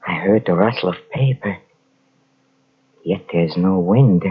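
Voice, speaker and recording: An actor playing a haunted Frenchman descending into madness in an old radio horror drama, halting and melodramatic, with repeated broken phrases. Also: deep voice, husky, exaggerated voice. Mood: fearful awe. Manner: halting, breathless repetitions, melodramatic. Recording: muffled old radio drama cassette transfer.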